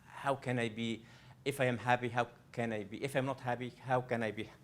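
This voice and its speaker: A man's voice: medium pitch, fairly even intonation, fairly quick. A man from Egypt, a coach presenting a live workshop to an audience. He speaks earnestly, often restarting and repeating phrases as he addresses the room directly. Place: library event room.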